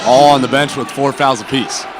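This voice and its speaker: A man's voice: medium pitch, fairly even intonation, moderate pace. Man, basketball play-by-play, radio-style commentary, mid-range voice, blaring gym noise, bouncing ball behind. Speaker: play-by-play announcer. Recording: loud gym crowd and court noise behind. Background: cheering crowd and bouncing basketball.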